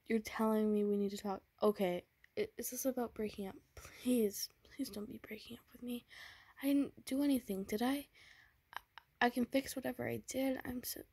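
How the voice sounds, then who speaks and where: A woman voicing an anxious, tender young boyfriend in a whispered romance roleplay, speaking softly with nervous stammers. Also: on the high side, fairly even intonation, moderate pace, breathy edge, no echo. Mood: worried pleading.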